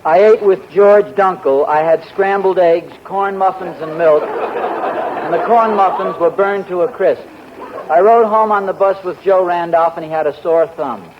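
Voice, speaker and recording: Distracted, mumbling young husband. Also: male voice, high voice, measured pace, background noise. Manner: absent-minded, mumbling then exasperated. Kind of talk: 1940s radio sitcom acting. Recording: dull lo-fi 1940s radio recording.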